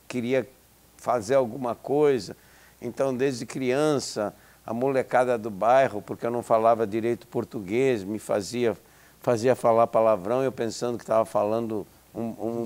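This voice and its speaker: A man's voice: mid-range voice, a little mellow. Older man, hesitant and reflective. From Israel. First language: Hebrew. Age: 70s. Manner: hesitant, with many restarts and fillers. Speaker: interview guest, psychology professor. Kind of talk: reflective interview talk.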